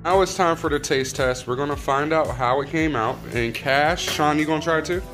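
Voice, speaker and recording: A man's voice: on the high side, brisk, over music. A man in his thirties with an American accent, chatting casually and playfully while cooking, with slangy, repeated phrases. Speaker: family vlog co-host cooking. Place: home kitchen, indoors. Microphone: handheld camera mic a few feet away. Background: sizzling griddle.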